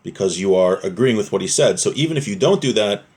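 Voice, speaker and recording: Male religious teacher, lowish voice, informal text study, explains in plain restatements. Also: animated, quick pace, darkish tone. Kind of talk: informal religious text lesson, reading aloud then explaining.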